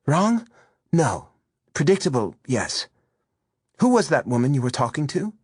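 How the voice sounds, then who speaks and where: A man's voice: a little high, very animated, a little slow, a little mellow, breathy edge, dry recording, clean studio sound, voice pushed up, heavily acted. A man voicing a jealous older woman who is furious, biting and sarcastic, in a storybook reading. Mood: angry, sarcastic.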